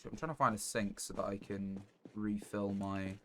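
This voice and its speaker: A man streaming a game live, giving casual commentary in a halting, rambling way as he thinks aloud. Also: on the low side, fairly even intonation. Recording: noticeable background noise.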